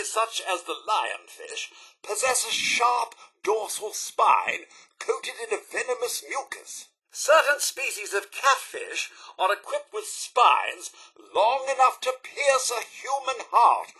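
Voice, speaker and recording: An actor playing a fussy, loyal, polite robot butler in a video game, with a British accent and a prim, formal, theatrical manner. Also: male voice, sits high, gravelly, pushed and bright, breathy edge, exaggerated voice. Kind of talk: video game character voice lines.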